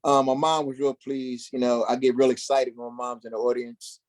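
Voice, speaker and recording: A man's voice: mid-range voice, little pitch movement, brisk, darkish tone. A man speaking casually and slangily as an actor being interviewed about his stage work. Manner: conversational, slangy, uses "you know" often. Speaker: stage play cast member, interview guest. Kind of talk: casual chat.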